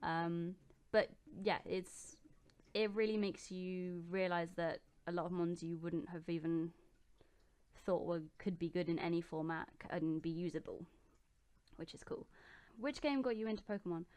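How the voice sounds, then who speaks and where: A young woman chats easily from her bedroom as she answers viewer questions one after another. Her voice sits a little low for a woman and sounds smooth and clear, and she fills her pauses with ums and small restarts. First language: English. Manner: chatty and relaxed, with many ums and self-corrections. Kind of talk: casual chat.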